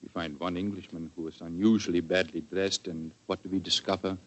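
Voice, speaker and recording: A proud Viennese count in a 1940s radio play. His voice sits low, and he speaks in a florid, courtly and theatrical way, every inch the gallant aristocrat. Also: flat delivery, brisk. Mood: grave, formal.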